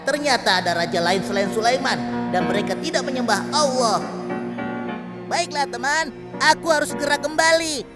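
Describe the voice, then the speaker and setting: A woman's voice: sits high, expressive, moderate pace. A woman voicing an earnest, humble young hoopoe bird messenger in a children's cartoon, with an exclamatory delivery. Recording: loud music behind the voice.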